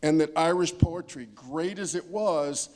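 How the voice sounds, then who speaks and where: A man's voice: on the high side, a little slow, darkish tone. A man talking as a panelist in an informal discussion, discursive and anecdotal, rephrasing as he goes.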